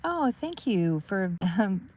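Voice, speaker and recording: A woman giving a live tarot reading in a calm, soothing voice that wanders between thoughts with many fillers. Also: medium pitch, slow pace, slightly rough. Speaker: tarot reader.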